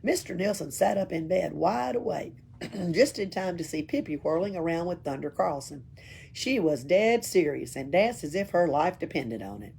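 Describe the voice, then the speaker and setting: A woman's voice: on the low side, lively intonation, moderate pace, gravelly, mellow. A woman reading a children's story aloud as a library storyteller. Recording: faint background noise, room ambience.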